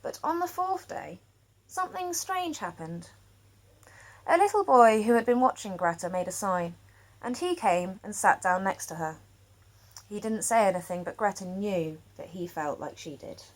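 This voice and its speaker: A woman storyteller reading a children's picture book aloud expressively, with dramatic pauses. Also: mid-range voice, expressive.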